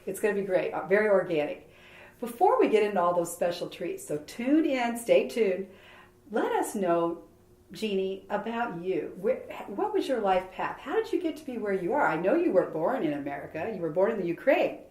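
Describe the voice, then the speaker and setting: A woman's voice: mid-range voice, sing-song, normal speed, a little mellow. A woman hosting an interview, effusive and enthusiastic, heaping praise on her guest. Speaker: interview host. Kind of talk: casual interview chat. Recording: echoey room.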